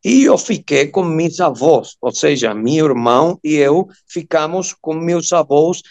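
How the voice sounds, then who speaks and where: A man in his early fifties with a Venezuelan Spanish accent talks as an interview guest. His voice sits a little high and has a clear creak. He tells his story haltingly, pausing to find the right word. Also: lively intonation, a little mellow. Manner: halting, searching for words, often checking with "ok?". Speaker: guest, surgeon and amateur radio operator.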